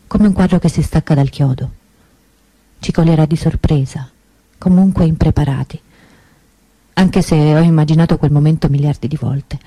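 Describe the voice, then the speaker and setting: A woman performing a radio theatre monologue as the anguished older sister of a missing girl, speaking emphatically and conversationally, with deliberate pauses. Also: low voice, mellow, low-quality recording. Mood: pained, resolute.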